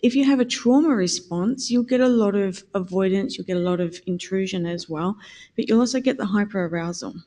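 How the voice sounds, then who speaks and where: A woman's voice: medium pitch, a little fast. A woman giving an informal, chatty lecture to nurses at a conference. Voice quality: quiet voice. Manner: informal, chatty, self-correcting. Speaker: conference speaker, nurse educator. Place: conference room. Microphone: handheld or stand microphone, at times held away.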